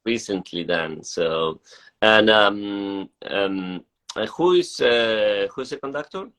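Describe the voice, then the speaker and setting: A man hosts a relaxed, conversational interview in a fairly low voice with a bright, forward edge. He hesitates often, repeating and rephrasing words as he works toward each question. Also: takes it slowly. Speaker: interview host, festival artistic director. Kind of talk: casual interview.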